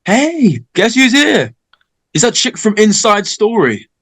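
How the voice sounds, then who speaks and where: Cocky high school party guy, read by a man, highish voice, really swingy delivery. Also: sing-song, slow pace, fairly bright tone, exaggerated voice. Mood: bossy, excited. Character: brash, cocky male American high school party host. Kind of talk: informal script table read.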